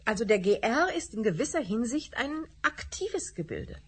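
A woman's voice: on the high side, very animated, moderate pace, slightly rough. An actress playing an inquisitive woman interviewer who asks probing questions in a radio drama.

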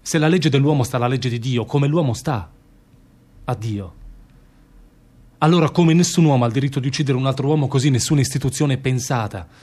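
A male actor plays a troubled public prosecutor in a theatrical radio drama. His mid-range man's voice is grave and brooding. He speaks in short, measured phrases, weighed down by doubt. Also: mid-range voice, warm tone, natural voice. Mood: grave, questioning.

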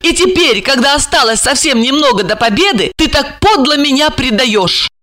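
A high, bright young woman's voice put on by a female narrator plays a spurned, hot-tempered mistress in an audiobook. She is shrill and theatrical, swinging widely between scorn and pleading. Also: very animated, moderate pace, heavily acted. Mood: heated reproach. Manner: shrill, mocking, theatrically emotional.

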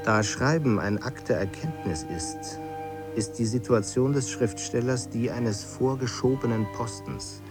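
A man reciting literary prose for a radio feature in a deliberate, declamatory manner. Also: medium pitch. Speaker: reader of quoted texts. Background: music.

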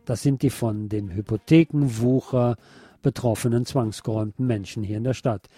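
A man presenting radio news as scripted commentary, speaking deliberately with a wry edge. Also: medium pitch, steady tone, mellow, husky, no echo.

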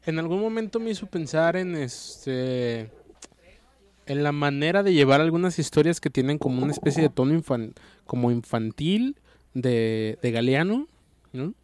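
A man, his voice a touch high, talks about a book in a relaxed discussion. He gropes for words and restarts his phrases. The sound is close and dry, with no room around it. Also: dry recording. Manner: searching for words, halting restarts. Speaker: book club podcast co-host. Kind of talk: casual literary discussion.

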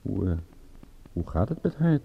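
An actor playing a wry, worried private detective in a radio drama, speaking tersely with dry irony and some hesitation. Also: male voice, lowish voice, very animated, unhurried, vocal fry, slightly rough, lo-fi sound, exaggerated voice. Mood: dry, probing. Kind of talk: radio drama acting in Dutch. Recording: mildly noisy radio play recording.

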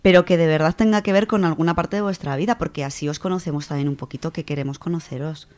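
A woman's voice: low voice, fast. A woman with a Spanish accent from Spain, chatting casually as a contributor to a radio book segment. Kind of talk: casual chat.

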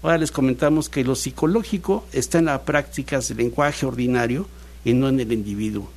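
A man with a Mexican accent hosting a radio interview, chatty and easygoing with quick questions. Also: mid-range voice, a little mellow. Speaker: radio host.

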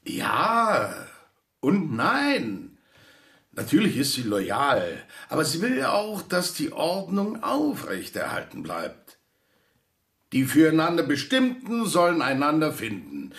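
A man's voice: on the high side, wildly swooping pitch, slow, fairly bright tone, exaggerated voice. A man voicing a theater director who instructs his actors during a rehearsal. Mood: instructing.

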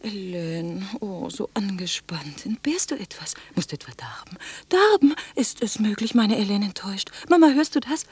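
An actress playing an intense, possessively affectionate sister in a radio drama, effusive and repetitive, moving between husky whispers and cries. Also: female voice, mid-range voice, lively intonation, normal speed, hardly any pauses, a little mellow. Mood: anxious, fussing. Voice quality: breathy, husky, often whispering.

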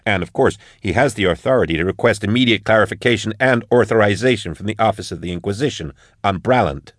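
A tough female marshal who pushes back hard, as a man voices her. Her voice sits above his own and is loud and forceful. The recording is dry and close. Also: fairly low pitch, expressive, fast talker, no echo, pitched above the performer's own voice. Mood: defiant. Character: female marshal, blunt and defiant.

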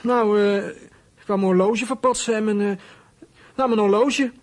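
An actor playing a troubled runaway boy in a Dutch radio play, with a husky voice and halting, guarded delivery. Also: medium pitch, steady tone, natural voice. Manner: hesitant, halting, guarded answers. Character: runaway boy, evasive, troubled, inward-looking. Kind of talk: Dutch radio play acting with inner monologue.

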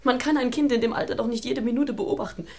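A woman's voice: a little high, steady tone, fast talker, mellow, natural voice. An actress playing a worn-down, anxious mother under threat in a German radio crime play, polite and weary. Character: worn-down, frightened mother being blackmailed.

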